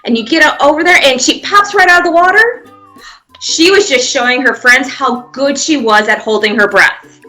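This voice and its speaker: A woman's voice: a little high, sharp tone. A woman guiding young children through pretend play, giving simple encouraging step-by-step prompts with playful sound effects. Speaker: children's museum presenter leading a pantomime activity.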